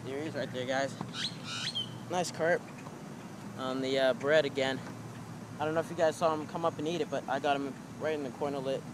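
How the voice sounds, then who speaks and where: A man's voice: medium pitch, fairly even intonation, brisk, pauses often. A young man narrating a casual fishing vlog outdoors, chatting to viewers as guys. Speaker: fishing vlogger. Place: outdoors by a city park pond. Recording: outdoor phone recording with noisy background.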